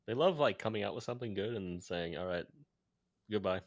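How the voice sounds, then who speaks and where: A man's voice: on the low side, expressive, brisk, no echo, studio quality. A man chatting casually and joking around as a guest on a livestream. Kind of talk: casual banter.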